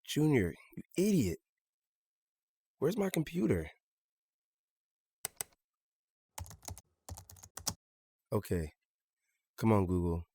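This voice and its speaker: A man's voice: lowish voice, dark tone, no echo, natural voice. A man playing an earnest, stubborn seventeen-year-old son in a naturalistic audio drama, polite but insistent. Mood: frustrated, muttering.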